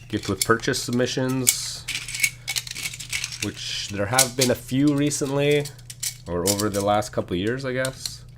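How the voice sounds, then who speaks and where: A man with a hoarse, congested voice from a sinus infection, casually chatting through a live stream while building a set and reading the chat. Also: medium pitch, background noise. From Canada. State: losing his voice from a sinus infection.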